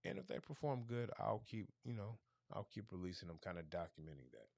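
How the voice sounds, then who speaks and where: A man hosting a casual livestream, talking through his plans in a rambling way and going hoarse. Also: on the low side, big pitch swings, fairly quick, darkish tone, dry recording. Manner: rambling, thinking aloud with restarts. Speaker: livestream host.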